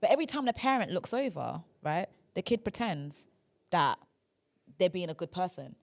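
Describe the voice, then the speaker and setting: A woman's voice: fairly low pitch, very animated, normal speed, vocal fry. A young woman hosting a podcast in casual chat, talking chattily with lots of filler words. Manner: chatty, lots of "like" and "do you know what I mean". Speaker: podcast host.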